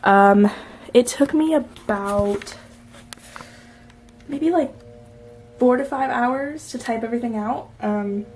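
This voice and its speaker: A woman's voice: a little high, slow pace, long pauses, darkish tone, slight background noise. A young woman vlogging in a casual, chatty way, laughing often and a little stuffy from a cold. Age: young adult. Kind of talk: casual chat to camera.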